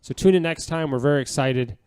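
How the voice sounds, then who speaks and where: A man's voice: a little high, brisk, darkish tone, smooth. A man co-hosting a podcast, chatting casually and enthusiastically in a rambling, gushing way that repeats phrases. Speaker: podcast co-host.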